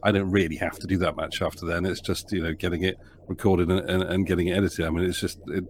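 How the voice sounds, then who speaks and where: A man's voice: low voice, flat delivery, fast talker, slight background noise. A man who produces audio dramas, talking through his process in a casual podcast interview, rambling with frequent ums and restarts.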